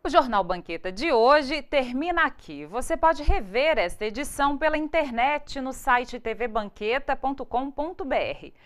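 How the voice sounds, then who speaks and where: High-voiced woman news anchor. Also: lively intonation, normal speed, few pauses. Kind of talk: scripted TV news presenting. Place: TV studio. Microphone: lapel mic.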